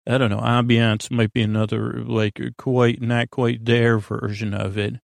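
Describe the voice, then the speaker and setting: A man with a gravelly, creaky voice talks close and dry into the microphone as a podcast host. He wanders through a sleepy bedtime monologue, doubling back and leaving thoughts half finished. His delivery is gently reassuring. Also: mid-range voice, little pitch movement, no room sound. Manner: meandering, halting, often trailing off and doubling back. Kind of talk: rambling bedtime monologue meant to help listeners sleep.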